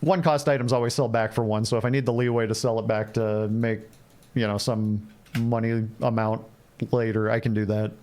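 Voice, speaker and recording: A man's voice: lowish voice, steady tone, moderate pace, darkish tone. A man giving casual, playful live commentary on a game, thinking aloud and repeating phrases as he chats with viewers. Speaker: game livestreamer.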